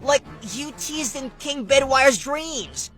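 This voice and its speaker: A small, brave cartoon dragon leader. The voice is bright and forceful, earnest and level-headed, over soft music. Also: male voice, very high-pitched, slow pace, full character voice. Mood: earnest, pleading. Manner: earnest, resolute. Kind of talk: animated cartoon voice acting. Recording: music behind the voice.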